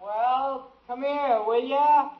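A brash teenage American son in an old radio comedy. The voice is high and offhand, with slangy, nosy cheek. The recording sounds dull and aged. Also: male voice, steady tone, takes it slowly. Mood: impatient yelling. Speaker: actor in radio sitcom. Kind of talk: old-time radio sitcom acting.

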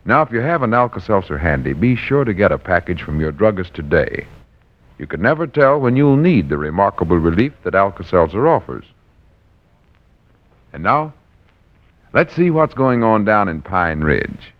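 Male American announcer, vintage radio ads and narration, deep and expressive; dull period sound. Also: moderate pace. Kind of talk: 1940s radio advertising and scripted narration.

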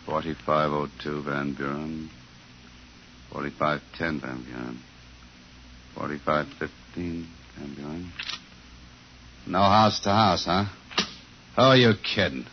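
An actor playing a tough, world-weary police detective in a 1950s radio drama, clipped and deadpan. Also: male voice, very deep voice, exaggerated voice. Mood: grimly sardonic. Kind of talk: 1950s radio drama, hard-boiled narration. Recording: dull old 1950s radio recording, music.

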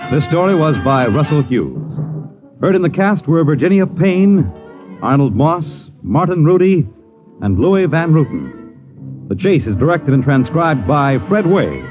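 A man's voice: on the high side, big pitch swings. A man narrating and announcing in a dramatic, portentous 1950s radio style. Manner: portentous, measured. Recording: dull vintage radio recording, music.

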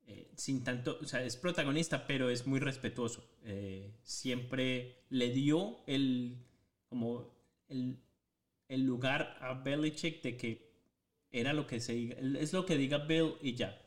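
A man's voice: medium pitch, takes it slowly. A man with a Colombian Spanish accent co-hosting a sports podcast, talking conversationally and chiming in. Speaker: podcast co-host. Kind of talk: casual sports talk.

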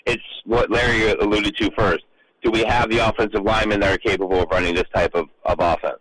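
A man in a casual sports radio discussion, talking haltingly and often repeating words as he works toward his point. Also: lowish voice, fairly even intonation, a bit bright, slight background noise, poor audio. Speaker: sports radio show panelist.

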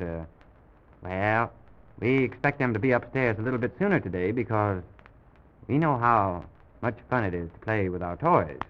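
A man hosting an old-time children's radio show, speaking gently and simply to small children as he tells a make-believe story. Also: medium pitch. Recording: dull old radio recording with music.

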